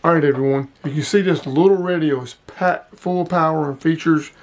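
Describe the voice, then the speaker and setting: A man with a fairly high voice talks through a hands-on gear review. He is informal and plain-spoken, explaining things casually as the host. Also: fairly quick.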